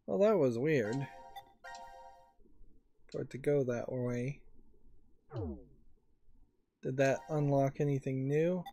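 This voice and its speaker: A man's voice: mid-range voice, slow, long pauses, dark tone, highs cut off. A man giving casual game commentary, thinking aloud in a musing, hesitant way. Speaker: let's player. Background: game music.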